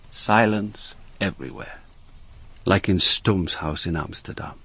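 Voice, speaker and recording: An actor in a radio drama playing a thoughtful, guarded police inspector with a husky voice and a quiet, sympathetic manner. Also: male voice, sits low, exaggerated voice. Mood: musing.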